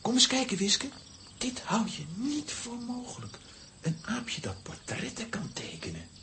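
A woman's voice: fairly high pitch, wildly swooping pitch, unhurried, noisy background, full character voice. A woman playing a sensible, brave, devoted boy in a children's audio drama, firm and steadying. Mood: curious.

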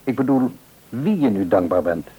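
An actor playing a devout, reassuring middle-aged father in a radio play, speaking in a measured, earnest, counselling way. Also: mid-range voice, expressive, breathy edge, phone-quality sound. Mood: gently earnest. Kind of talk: mid-century radio play acting.

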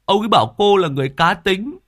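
A man voicing a flattering old village man who coaxes and fawns. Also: high-pitched, quick pace, voice pushed up. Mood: coaxing. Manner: coaxing, fawning.

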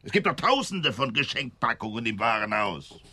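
An actor playing a stern, sardonic senior police inspector in a radio drama, curt, biting and sceptical. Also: male voice, mid-range voice, very animated, moderate pace, sharp tone, husky, full character voice. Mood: sceptical, irritated.

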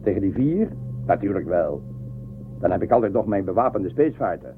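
An actor playing a bold, power-hungry space ruler in a radio drama, with a commanding, theatrical manner. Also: male voice, sits low, very animated, brisk. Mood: scheming, ambitious. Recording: thin phone-like radio recording, faint noise.

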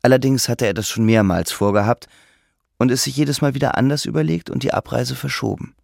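A man's voice: mid-range voice, a little fast, gravelly, darkish tone, no room sound, clean studio sound. A man reading scripted literary narration for an audiobook.

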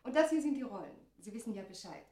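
A woman's voice: a little high, lively intonation, fast talker, mellow, slight background noise, close to an everyday voice. A woman acting a polite, helpful Frenchwoman who works as a museum attendant in a radio play. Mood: obliging. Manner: courteous, obliging. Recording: echoey room, old radio play.

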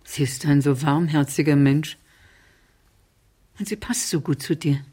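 An actress playing a lonely, genteel older aunt in a radio drama, gently pressing as she talks. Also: sits low, steady tone, unhurried, slightly rough. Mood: wistful, coaxing.

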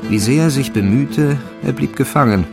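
A man narrating a crime radio play in a measured, wry, literary manner. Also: mid-range voice, creaky, natural voice. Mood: grave, suspenseful. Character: dry, omniscient male narrator of a village crime story. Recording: music behind the voice. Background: instrumental music.

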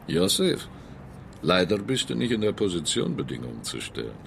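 Menacing blackmailer, gravelly fry, lively sarcastic delivery, radio play. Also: male voice, fairly low pitch, slight background noise, exaggerated voice. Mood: smug, threatening. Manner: taunting, drawn-out menace. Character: menacing, cynical male gangster blackmailer, smugly sarcastic. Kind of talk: German radio drama acting.

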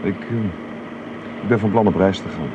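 Man acting deep, gentle, halting middle-aged father. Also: natural voice. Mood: hesitant. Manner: halting, soothing. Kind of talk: radio drama acting. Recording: muffled, noisy old radio recording.

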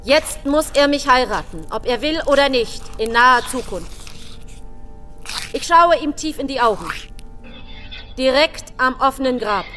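Calculating woman, high sharp voice in a long reverb, radio play monologue. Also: expressive, a little slow, pauses often, heavily acted. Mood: scheming. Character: scheming younger woman, self-justifying, set on marriage. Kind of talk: radio drama inner monologue. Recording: long reverb, music underneath.

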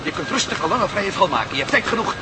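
An actor playing a practical, urgent hero in a radio play. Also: male voice, high-pitched, very animated, fast talker. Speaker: Matt Meldon, hero of the series. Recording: noisy old radio play recording.

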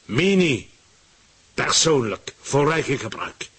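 An actor playing a pompous, scheming government ruler in a radio satire, dictating in an imperious, declamatory way. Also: male voice, medium pitch, big pitch swings, slow pace, fairly bright tone, husky, heavily acted. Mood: smug scheming. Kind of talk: Dutch-language radio satire acting.

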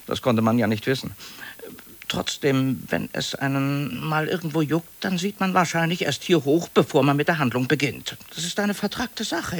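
A fussy middle-aged male office clerk in a 1950s radio play. His voice sits at a middle pitch. He confides hesitantly and anxiously, gently pleading and seeking agreement. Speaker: actor.

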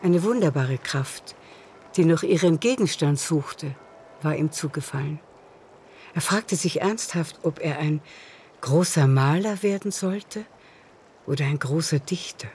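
A woman's voice: low-pitched, unhurried, dark tone, a little hiss or hum. A woman narrating a literary radio play in a scripted, storytelling style.

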